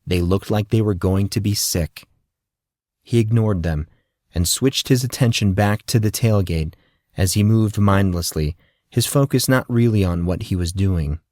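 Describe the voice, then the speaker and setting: Low-voiced male audiobook narrator. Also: unhurried, a little mellow, dry recording, studio quality. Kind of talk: scripted story narration.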